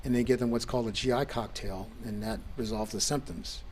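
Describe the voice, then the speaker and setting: A man speaking as a doctor in casual chat, measured and hesitant with frequent ums. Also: lowish voice, fairly even intonation, a little mellow, a little hiss or hum.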